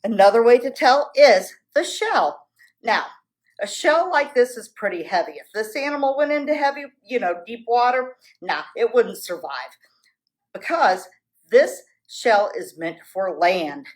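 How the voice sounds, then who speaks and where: A woman's voice: a little high, lively intonation, measured pace. A woman presenting story time for children as a zoo educator, speaking in a simple, explanatory way.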